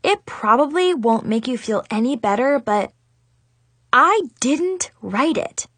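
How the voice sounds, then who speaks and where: A woman voicing a sarcastic, excitable schoolgirl in a storybook, with a gushing, exaggerated way of talking. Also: high voice, slow pace, no room sound, voice pushed up, exaggerated voice. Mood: apologetic. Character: dramatic, sarcastic, excitable schoolgirl telling her own story.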